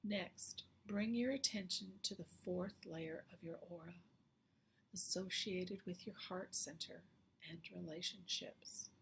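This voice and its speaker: Female meditation guide: slightly low register, gentle half-whispered phrase endings, unhurried and calming, faint ambient noise underneath. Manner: soft, hushed, often breathy phrase endings; slow, soothing, with long pauses.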